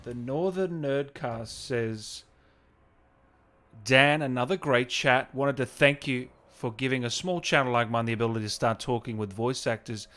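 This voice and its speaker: A man's voice: medium pitch, normal speed, fairly bright tone. A man hosting a casual live stream, reading out comments and thanks in an enthusiastic, matey, informal way.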